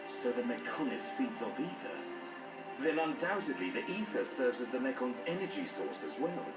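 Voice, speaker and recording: Actor as animated British fantasy warrior. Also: male voice, mid-range voice, normal speed, lightly acted. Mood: grave, resolute. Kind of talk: video game cutscene acting. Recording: echoey, under loud game music.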